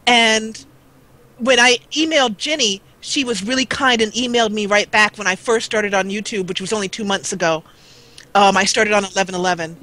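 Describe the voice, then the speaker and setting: A middle-aged American woman talking earnestly on a live show, halting and repeating words as she goes. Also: medium pitch, steady tone, sharp tone, low-quality recording. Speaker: guest, gaming video creator. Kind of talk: live talk show conversation.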